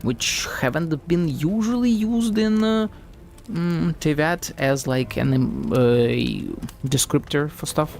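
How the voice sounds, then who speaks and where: Male game streamer, medium pitch, gravelly fry, musing commentary, faint music. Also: takes it slowly, darkish tone. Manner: hesitant, musing. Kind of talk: thinking-aloud live game commentary.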